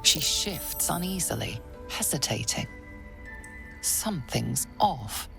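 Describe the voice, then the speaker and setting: Game narrator: ominous woman, extremely low, slow, gravelly, suspenseful. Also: sing-song, music in the background, full character voice. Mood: ominous, suspicious. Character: ominous, watchful woman narrator of a dark fantasy.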